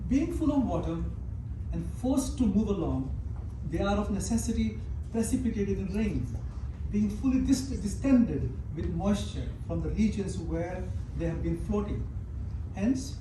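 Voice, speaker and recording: A man's voice: high voice, sing-song, measured pace. A man playing a pompous philosopher-teacher of ancient Athens, lecturing in a declamatory, emphatic stage-reading style. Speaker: amateur actor in a staged play reading. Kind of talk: dramatic reading of ancient Greek comedy. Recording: very echoey room, distant mic, noisy.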